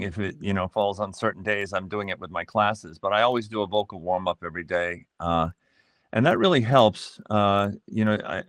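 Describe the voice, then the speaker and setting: A middle-aged man being interviewed as a voice actor, explaining things in a measured, reflective way and pausing to find his words. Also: deep voice, fairly bright tone, no echo, clean studio sound.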